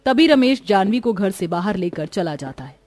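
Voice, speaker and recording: A woman's voice: mid-range voice, sing-song, moderate pace, a little mellow. A woman narrating a scripted moral story as a storyteller.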